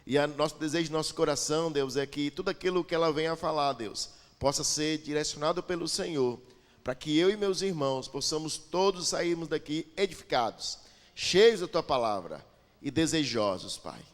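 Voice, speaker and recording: A man's voice: fairly high pitch, animated, normal speed, in a large hall. A man pastor introducing a guest preacher and leading a fervent prayer to the congregation, repeating invocations of the Father and God. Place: church sanctuary with a PA.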